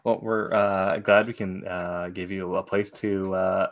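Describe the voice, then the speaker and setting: A man hosting a podcast in a casual, halting way, with many pauses as he goes. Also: deep voice, level tone. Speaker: podcast host.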